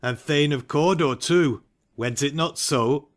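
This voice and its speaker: A man playing a commanding, ambitious warrior lord in a dramatic Shakespeare reading, speaking deliberately. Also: on the high side. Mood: intrigued.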